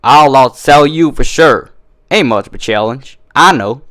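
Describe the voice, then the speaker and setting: A man's voice: mid-range voice, lively intonation, a little slow, fairly bright tone. A man voicing a stubborn, teasing country mare farmer with an American Southern drawl, blunt and folksy, in a cartoon fan-fiction reading. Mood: feisty rivalry.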